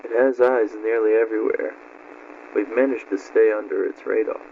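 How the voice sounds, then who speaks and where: Noisy, muffled man playing a monotone informant. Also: fairly low pitch, normal speed, close to an everyday voice. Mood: guarded. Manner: flat, formal. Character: guarded male informant from an occupied planet. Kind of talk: analog horror interview. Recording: muffled, noisy radio transmission.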